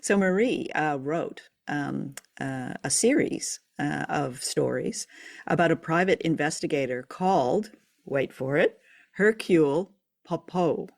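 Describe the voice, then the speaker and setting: A woman's voice: sits low, lively intonation, measured pace, gravelly, dry recording, clean studio sound. A woman co-hosting a show, chatting informally and telling stories about old radio drama. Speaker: podcast co-host.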